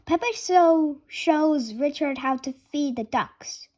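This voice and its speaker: High-pitched voice, slow pace, children's storybook read-aloud, word-by-word delivery. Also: darkish tone, slight background noise. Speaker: reader of a children's picture book.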